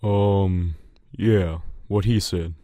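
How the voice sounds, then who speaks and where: A man's voice: sits low, steady tone, very slow, warm tone, dry recording. A man playing a stubborn, easygoing farm pony with a folksy American Southern drawl in a fan audio drama. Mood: sheepish recounting.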